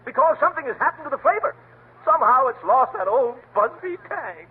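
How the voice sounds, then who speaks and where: A man's voice: extremely high pitch, expressive, a little fast, breathy edge, heavily acted. A man voicing a fussy, pompous honey businessman in an old radio comedy. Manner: pompous with chuckling asides. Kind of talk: 1930s radio comedy acting. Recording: tinny old radio recording with faint hiss.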